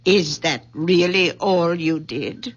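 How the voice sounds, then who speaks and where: A woman's voice: fairly low pitch, slow pace, breathy edge. A reclusive widowed aunt, self-conscious and fretful, in 1970s radio drama. She speaks hesitantly and fussily. The old broadcast sound is somewhat muffled. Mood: shy, self-conscious.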